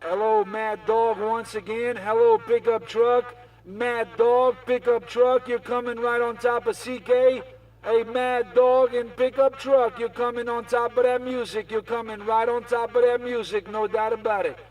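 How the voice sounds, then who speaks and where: A man's voice: very high voice, level tone, measured pace, darkish tone, far from the mic. A man working as a CB radio operator, boasting in repeated, chanted catchphrases with showy swagger. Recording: CB radio chatter, off the mic.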